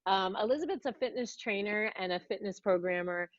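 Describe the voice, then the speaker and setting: A woman's voice: mid-range voice, brisk, no echo. Podcast host, woman, talkative and laughing, dry muffled recording. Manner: warm, chatty, laughs often, rambling restarts. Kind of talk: casual interview chat.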